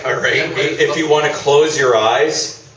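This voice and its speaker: A man's voice: on the high side, steady tone, unhurried, a crowd in the background. A man introducing and announcing a live radio play on stage. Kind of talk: informal stage introduction, then scripted announcing. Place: small theatre, live performance. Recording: echoey room, distant mic.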